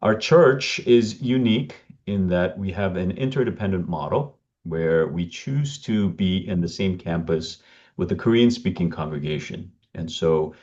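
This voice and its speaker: A man, a senior pastor, introducing himself in a relaxed way on a video call panel. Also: low voice, a little slow. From Bangladesh and Chicago. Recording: dull video call audio.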